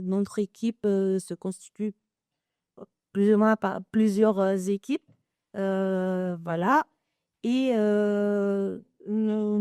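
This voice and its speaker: A woman working as an educator talks on a panel, pausing often and restarting her sentences. Her voice is mellow and smooth, heard dry and close, and she speaks slowly. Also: mid-range voice, no room sound. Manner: hesitant, many fillers and restarts. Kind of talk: panel discussion. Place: conference room.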